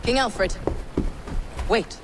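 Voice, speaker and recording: A woman voicing a zealous, scheming paladin, formal, measured and coolly deferential, in a video game drama. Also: noisy background. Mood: cold, urging. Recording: music under the voice.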